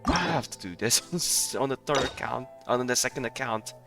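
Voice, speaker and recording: A man giving casual, rambling playthrough commentary on a game, often halting and chuckling between phrases. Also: medium pitch, creaky, over music. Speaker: gaming streamer.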